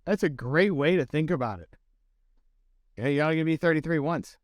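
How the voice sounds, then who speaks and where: A man's voice: fairly high pitch, brisk, no echo. A man hosting a podcast, asking questions in a loose, curious way with plenty of "like". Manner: curious, loose, uses "like" a lot. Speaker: podcast host. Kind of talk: casual interview conversation.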